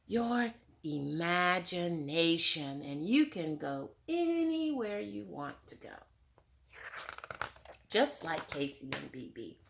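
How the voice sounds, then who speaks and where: A woman's voice: medium pitch, vocal fry. A woman reading a picture book aloud to children, then talking it over with them like a teacher and asking them questions. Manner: warm teacherly, asks questions to young listeners. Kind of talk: children's read-aloud with discussion.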